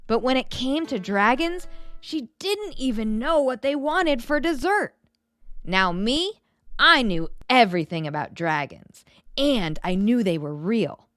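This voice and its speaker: A woman narrating a children's picture book in an expressive read-aloud storytelling style. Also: on the high side, measured pace, no echo, clean studio sound.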